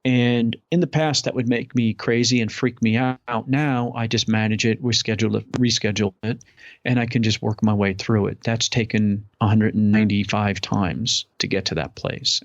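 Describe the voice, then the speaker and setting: Excited talky guy, kinda muffled lo-fi audio, mid voice. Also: mid-range voice, no echo. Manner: upbeat, enthusiastic, rambling. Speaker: podcast guest. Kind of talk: casual interview chat. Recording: dull lo-fi audio.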